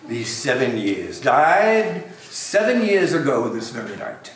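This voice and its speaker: A man's voice: mid-range voice, sing-song, takes it slowly. A man playing a curt, miserly, grumpy old businessman in an amateur comic play reading, dismissive and grumbling. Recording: very echoey room, distant mic, faint noise.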